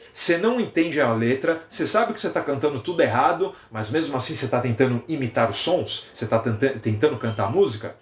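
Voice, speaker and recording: Man, Brazilian Portuguese accent, young adult, animated live-stream teacher, roomy echo. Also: on the high side. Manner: lively, animated, reads out viewer comments. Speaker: English teacher. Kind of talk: live-stream lesson and chat.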